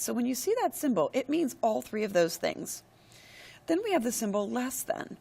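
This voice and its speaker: A woman's voice: mid-range voice, creaky. A woman math teacher who is losing her voice walks through a screen-recorded lesson step by step. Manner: steady, step-by-step explaining with frequent "all right, go ahead".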